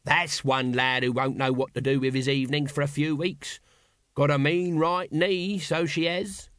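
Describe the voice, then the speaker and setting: A man's voice: fairly high pitch, steady tone, normal speed, fairly bright tone, no echo, studio quality, close to an everyday voice. A man voicing a small, sly, crude watchman who talks in a colloquial, argumentative way, in an audiobook story. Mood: leering.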